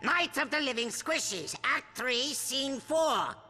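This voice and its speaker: An actor playing a megalomaniac robot villain in a video game, hammy, bombastic and prone to shrieking. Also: male voice, very high-pitched, expressive, slow pace, full character voice. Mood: hammy, commanding. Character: megalomaniac robot villain rehearsing his own melodrama. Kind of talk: video game cutscene acting. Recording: loud music behind the voice.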